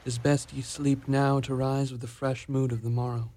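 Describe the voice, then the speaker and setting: An actor playing an enigmatic spirit servant in a radio drama, with measured, archaic diction. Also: male voice, medium pitch, flat delivery, background noise, lightly acted. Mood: cool, unmoved. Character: airy male sea-island spirit, enigmatic servant of a magician. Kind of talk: mock-Shakespearean radio drama acting.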